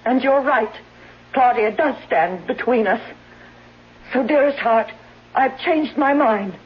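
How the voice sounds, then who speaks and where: A woman's voice: fairly high pitch, slow pace, breathy edge, heavily acted. A woman playing an ailing, bitter, suspicious wife in a 1970s radio drama, dramatic and accusing. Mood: scornful, accusing. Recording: muffled, noisy 1970s radio broadcast.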